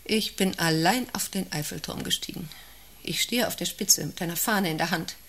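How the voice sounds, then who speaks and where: An actress in a radio play playing an imperious, overwrought woman director, theatrical, moving between hushed musing and sharp commands. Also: on the low side, normal speed, creaky. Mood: wistful. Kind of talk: German radio play acting.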